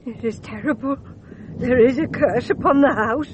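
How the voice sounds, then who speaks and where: A high female voice , an actress's, plays an agitated servant for radio. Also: normal speed, slight background noise, close to an everyday voice, poor audio. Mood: distraught. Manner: dramatic, fretful. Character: superstitious, agitated French female household servant. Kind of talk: radio drama acting.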